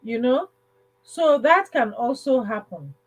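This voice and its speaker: A woman's voice: fairly high pitch, expressive, slow pace, rough recording. A woman hosting a casual livestream advice talk, speaking emphatically and repeating phrases for stress. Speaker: livestream host.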